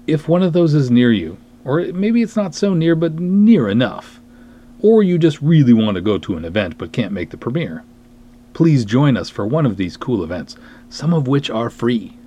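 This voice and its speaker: A man's voice: mid-range voice, mellow, slight background noise. A man hosting a podcast in a casual monologue, rambling along in a self-deprecating way. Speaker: podcast host, film writer and director.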